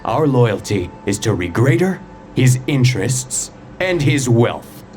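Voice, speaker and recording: A man's voice: mid-range voice, very animated, slow. A man playing a sly, cocky mercenary debt collector in a video game, mocking and businesslike. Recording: dramatic game music behind.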